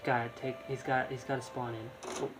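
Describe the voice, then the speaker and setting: A man's voice: medium pitch, flat delivery. Rambling man streaming a game over music. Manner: rambling, halting, repeats words. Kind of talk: casual live game commentary.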